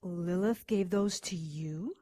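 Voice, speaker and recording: An actress playing a monster hunter's concerned, sensible companion in an audio drama, questioning and firm. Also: female voice, fairly low pitch, slow, mellow, no room sound. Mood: wry, easygoing.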